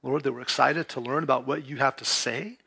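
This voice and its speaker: A man's voice: mid-range voice, steady tone, a little fast, gravelly, bright, forceful tone, husky. A pastor from California preaching a sermon to his church, talking conversationally and often checking in with his listeners.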